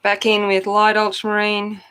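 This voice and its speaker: A woman hosting a coloring channel, chatting loudly and casually with viewers while she colors, often poking fun at herself. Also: mid-range voice, monotone, normal speed, slight background noise. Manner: loud, chatty, self-deprecating.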